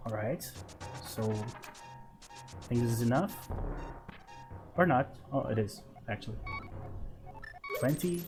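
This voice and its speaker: A man in his thirties with a Brazilian Portuguese accent, a Portuguese native speaker, hosting his gaming channel and thinking aloud over gameplay in relaxed let's-play commentary. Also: medium pitch, slow pace, long pauses, music in the background. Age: 30s.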